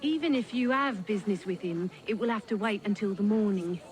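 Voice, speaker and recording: Woman acting a prim townswoman, mid pitch, fussy, disdainful, scolding, video game dialogue. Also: brisk, close to an everyday voice. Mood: fussy disapproval.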